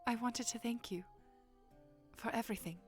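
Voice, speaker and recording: Actress doing a young fantasy princess, really high voice, earnest and proper, proud but unsure of herself, music underneath. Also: female voice, normal speed, close to an everyday voice. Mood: sincere. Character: devoted, frustrated young princess, proud and self-doubting. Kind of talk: audio drama dialogue.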